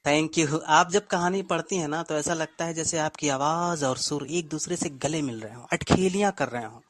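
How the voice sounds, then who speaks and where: A man's voice: a little high, normal speed, no echo. A man, a story writer and co-host, chatting in an effusive, courteous and poetic manner. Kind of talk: casual, appreciative show conversation.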